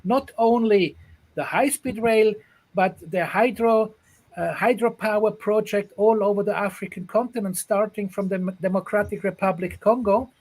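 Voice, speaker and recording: A man from Ghana with a high-pitched voice talks earnestly on a video call. He often circles back and repeats his phrases before going on. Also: moderate pace, darkish tone, low-quality recording. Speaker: guest, rail project executive. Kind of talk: online panel discussion.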